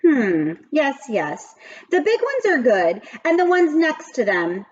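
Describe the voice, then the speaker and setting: A sly schoolyard teacher from a storybook, a man played by a woman, coaxing and haggling in an animated, unhurried voice. The sound is a little dull and lo-fi. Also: sits high, voice pushed up, exaggerated voice. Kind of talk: children's storybook read-aloud.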